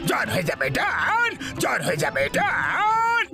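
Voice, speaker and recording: Shouting, high-pitched cartoon villain boss. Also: male voice, huge pitch swings, exaggerated voice. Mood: threatening, boastful. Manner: bombastic, threatening, drawn-out catchphrases. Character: boastful, vengeful cartoon villain gang boss, adult man. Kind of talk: exaggerated cartoon dub acting.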